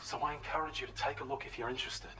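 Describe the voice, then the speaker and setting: An actor playing a calm male facility contact in a video game, speaking in a measured, formal, friendly way. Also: mid-range voice, a crowd in the background, lightly acted. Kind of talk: scripted game dialogue.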